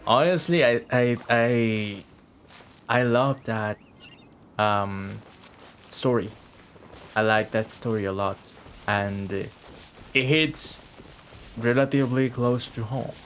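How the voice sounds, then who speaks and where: A man giving casual, halting, reflective livestream commentary on a video game in an informal way. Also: mid-range voice, fairly bright tone, a little hiss or hum. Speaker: game streamer. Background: faint game music.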